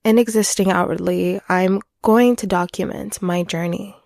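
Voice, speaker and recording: Low-pitched young woman podcasting candidly. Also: normal speed, gravelly, no room sound, studio quality. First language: French. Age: 20s. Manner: animated, self-deprecating, chatty and earnest. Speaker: podcast host. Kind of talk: candid solo podcast monologue, casual. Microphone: close podcast microphone.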